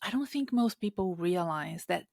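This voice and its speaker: A woman's voice: lowish voice, expressive, normal speed, gravelly, darkish tone, no echo. Thoughtful woman hosting a podcast, dry sound. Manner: thoughtful, with hesitant restarts. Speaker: podcast host and career coach. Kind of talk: casual interview talk.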